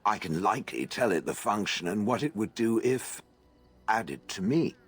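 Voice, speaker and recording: Game casting: a towering stone golem, very low and husky, snide, vain and condescending. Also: male voice, expressive, normal speed, fairly bright tone, faint music behind, exaggerated voice. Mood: sardonic, haughty. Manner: dry, condescending, calls listener "it". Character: huge sardonic stone golem, haughty and vain. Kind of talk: video game voice acting.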